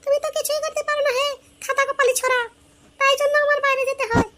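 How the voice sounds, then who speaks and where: A performer voicing a brash, defiant young village wife, scolding and whining in a shrill, exaggerated comic Bengali drama style. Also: level tone, fast, background noise. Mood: scornful.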